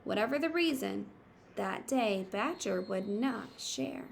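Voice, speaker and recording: A woman reads a children's story at an unhurried pace, with some creak in her voice. She tells it expressively and pauses to explain things simply, as a teacher does. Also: medium pitch, lively intonation, a little hiss or hum. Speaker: teacher reading aloud to pupils. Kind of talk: picture book read-aloud with teaching asides.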